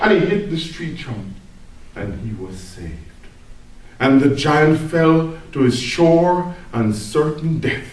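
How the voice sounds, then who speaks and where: Woman doing a comic tall tale on stage, super swoopy dramatic delivery, mic far away. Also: medium pitch. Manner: theatrical, dramatic emphasis, mock-earnest. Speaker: storyteller at a speech club. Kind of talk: live comic tall-tale storytelling to an audience. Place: echoey indoor room, speaking to an audience. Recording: very echoey room, distant microphone.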